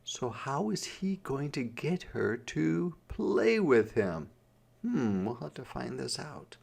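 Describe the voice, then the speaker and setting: Animated, creaky storybook reader for children. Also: medium pitch, slow. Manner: explaining simply, questioning the listener. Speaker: teacher reading aloud. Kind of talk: interactive children's story read-aloud with explanations.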